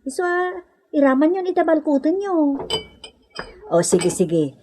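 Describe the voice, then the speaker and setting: An actress playing a well-off, generous madam with an Ilocano accent in a comedy radio drama, speaking in an animated, coaxing way. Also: female voice, high voice, a little slow, a little hiss or hum. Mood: chatty. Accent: Filipino, Ilocano.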